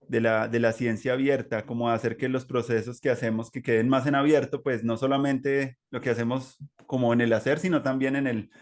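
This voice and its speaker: A Colombian man with a mid-pitched voice hosts a conversational interview. He speaks reflectively, circling through long, halting phrases with many small filler words. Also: flat delivery. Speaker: interview host.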